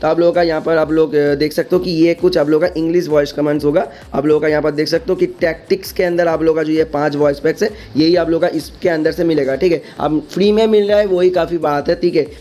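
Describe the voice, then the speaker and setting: Fast-talking Indian man, mellow higher voice. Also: steady tone. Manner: repetitive verbal tags, mixes in English words. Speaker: gaming video creator. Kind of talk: casual explainer commentary on game events.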